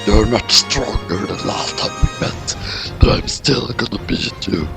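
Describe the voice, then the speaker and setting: A man voicing an evil, gloating villain in a slow, menacing growl for a story reading. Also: fairly low pitch, pitched below the performer's own voice. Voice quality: gravelly growl. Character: evil, gloating male villain with laser powers. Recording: music playing behind the voice.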